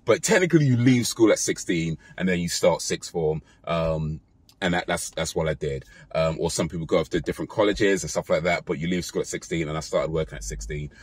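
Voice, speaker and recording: A British man in his thirties talking casually to his phone in a parked car, relaxed and rambling with fillers and self-corrections. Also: low voice, fairly quick, breathy edge, no echo. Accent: British English. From Britain. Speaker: vlogger. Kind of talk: casual talk to camera. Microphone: phone camera held close.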